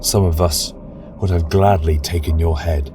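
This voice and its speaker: A man voicing a grieving, scorched fantasy warrior who is cold yet grimly merciful, speaking in halting, solemn pronouncements. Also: low voice, fairly even intonation, far from the mic, background noise, close to an everyday voice. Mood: cold, sorrowful. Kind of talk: dramatic fantasy audio storytelling.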